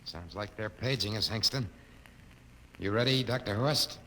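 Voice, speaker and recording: An actor playing an uneasy, questioning junior lieutenant aboard a spaceship in a 1950s radio drama. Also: male voice, fairly low pitch, normal speed, bright, forceful tone. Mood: nervous. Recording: noisy 1950s radio recording.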